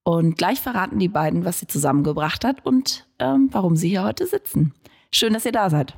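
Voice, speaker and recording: A woman's voice: on the low side, expressive, brisk, gravelly, slightly rough. A woman hosting a podcast in a studio, reading an intro and then interviewing guests in a friendly way that explains things for listeners. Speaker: podcast host.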